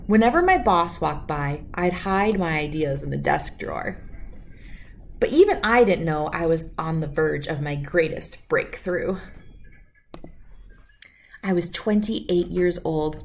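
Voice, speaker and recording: A woman's voice: sits low, animated, unhurried, slight background noise. Woman reading a children's book aloud. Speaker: read-aloud storyteller. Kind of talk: expressive children's picture book read-aloud.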